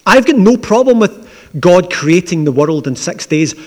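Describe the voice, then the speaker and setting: A man with a Glaswegian accent, preaching as the pastor of a church in a conversational, gently humorous way. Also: sits high, big pitch swings, measured pace. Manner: conversational, with asides and dry humour. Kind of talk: sermon with opening prayer. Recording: long church reverb.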